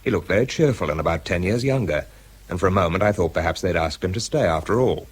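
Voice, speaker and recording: A very deep-voiced British man plays a self-pitying scientist in a radio drama. His delivery is dry and understated, yet the pitch moves freely. The voice has a husky grain. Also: lively intonation, quick pace. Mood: dry, reflective. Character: bitter, self-pitying research scientist Sammy, narrating his story. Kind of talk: British radio drama acting and first-person narration.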